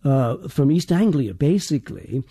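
A man's voice: medium pitch, sing-song, unhurried, warm tone, breathy edge. A male dialect coach with a part Irish accent, explaining accents in an interview and often restarting his sentences. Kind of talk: interview answers.

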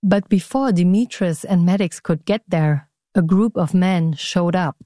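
A woman reporter narrating a news story in a measured, calm way. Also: fairly low pitch, dry recording, studio quality.

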